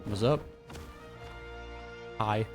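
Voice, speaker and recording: A man's voice: fairly low pitch, extremely slow, over music. A young man giving casual, slangy reaction commentary on a video game as he plays. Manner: laid-back, slangy. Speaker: gaming reactor.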